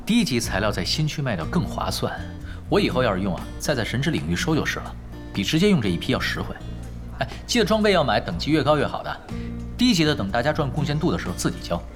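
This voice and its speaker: An actor playing a laid-back, skilled male pro gamer, calm and focused, in a Chinese audio drama. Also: medium pitch, fast talker, music in the background, natural voice. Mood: casual, businesslike.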